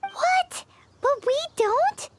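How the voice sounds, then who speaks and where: A woman's voice: very high voice, lively intonation, drawn-out words, heavy background noise, exaggerated voice. A woman voicing a tiny childlike fairy companion in a video game, whiny and exclaiming. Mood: puzzled.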